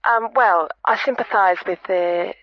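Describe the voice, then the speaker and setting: A woman's voice: mid-range voice, a little slow, dry recording, close to an everyday voice. A woman playing a put-upon, complaining expectant mother on a dull phone line to a comedy radio show. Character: pregnant, unsympathetic, complaining female phone-in caller.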